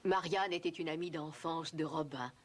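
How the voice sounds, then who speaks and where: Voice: mid-range voice, normal speed. A narrator delivering scripted storytelling narration for a children's cartoon.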